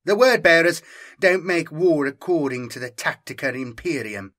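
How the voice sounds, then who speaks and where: A man's voice: a little high, lively intonation, normal speed, fairly bright tone, pitched above the performer's own voice. A man voicing a stern, commanding female inquisitor in a grave, intense audiobook style. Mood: grave, insistent.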